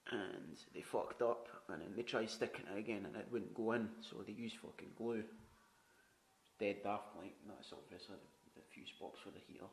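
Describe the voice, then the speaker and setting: A man giving a casual walkthrough of a flat he is renovating, chatty and frugal, swearing casually, recorded on a handheld camera indoors. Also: lowish voice, moderate pace, slight background noise, rough recording. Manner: chatty, frugal, swears casually, repeats "you know what I mean". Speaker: home renovator. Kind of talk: walkthrough tour narration. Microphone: handheld camera mic.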